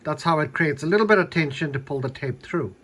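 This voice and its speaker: A man's voice: on the high side, lively intonation, quick pace, bright, forceful tone. A man giving a casual, unscripted hands-on demonstration, explaining things a little hesitantly as he goes. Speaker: hobbyist demonstrating a repaired tape deck.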